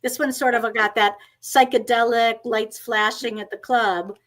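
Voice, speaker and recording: A woman hosting an author interview, appreciative and quick to laugh along. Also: a little high, steady tone, a bit bright. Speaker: host. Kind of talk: interview hosting, reading excerpts aloud.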